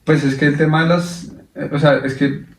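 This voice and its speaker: A young man talks through his story on a podcast, hesitant and halting, with plenty of fillers. His tone stays flat and even. The mic catches him from a distance in a room with noticeable echo. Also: fairly high pitch. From Colombia. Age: young adult. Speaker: podcast co-host. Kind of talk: casual conversation.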